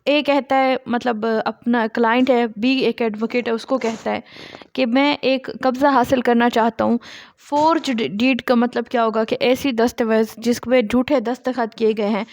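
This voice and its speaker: A woman giving a law lesson, reading out formal text and explaining it by posing and answering her own questions. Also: a little high. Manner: explanatory, poses questions and then answers them. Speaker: law lecturer.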